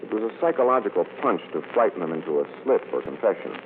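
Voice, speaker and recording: 1940s radio mystery, self-assured American gentleman detective, deep low voice, suave, measured, thin phone-like sound. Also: lively intonation. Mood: wry, confiding. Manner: measured, authoritative, explanatory. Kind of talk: 1940s radio drama acting. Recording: tinny, noisy 1940s radio recording.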